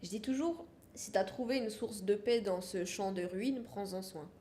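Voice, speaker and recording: A woman from France speaks as a podcast guest in a casual, frank way, repeating her points for emphasis. Her voice sits at an ordinary middle pitch. A faint noise hangs under the recording. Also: normal speed, dark tone. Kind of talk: casual interview chat.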